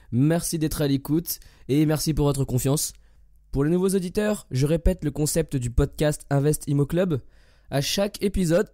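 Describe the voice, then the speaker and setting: A hoarse man hosting a podcast, who reads a welcoming scripted intro and then interviews a guest. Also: on the high side, dry recording, studio quality. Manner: welcoming, steady scripted delivery. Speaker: podcast host.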